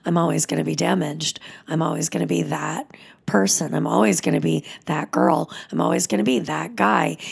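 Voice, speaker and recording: A woman in her thirties, a yoga teacher, giving a soft, emotional spoken teaching that often drops into a whisper. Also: low voice, gravelly, slightly rough.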